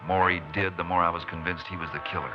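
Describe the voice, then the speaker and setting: A man's voice: deep voice, fairly even intonation, fast, music in the background, natural voice. An actor playing a terse, determined Texas Ranger lawman in a 1950s radio western. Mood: grim, determined. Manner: terse, matter-of-fact. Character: steady, determined Texas Ranger tracking a killer. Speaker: lead actor and narrator. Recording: noisy muffled 1950s radio recording.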